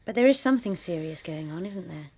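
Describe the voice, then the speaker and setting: A young woman acting in a radio drama as a caring daughter, tentative and gently probing. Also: on the low side, expressive, quick pace, slightly rough. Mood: concerned. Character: concerned, gently probing daughter living at home.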